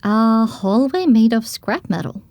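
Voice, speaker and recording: A woman's voice: a little high, lively intonation, measured pace, darkish tone, no echo. A woman reading first-person dramatic story narration for an audio drama.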